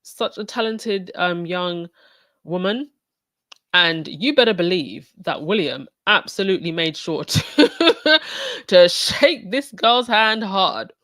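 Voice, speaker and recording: A woman's voice: lowish voice, expressive, slow, a bit bright, no echo. Sniffly woman chatting with a cold. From England. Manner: chatty, with many fillers and restarts. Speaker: podcast host. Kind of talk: casual commentary on a livestreamed podcast.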